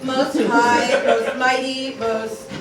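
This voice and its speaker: A woman's voice: a little high, flat delivery, extremely slow. A woman playing a pleading teenage daughter, stumbling through archaic verse in an amateur parody radio play. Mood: humble, pleading. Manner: stumbles over archaic words, slips into casual speech. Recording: roomy, distant mic, loud background noise.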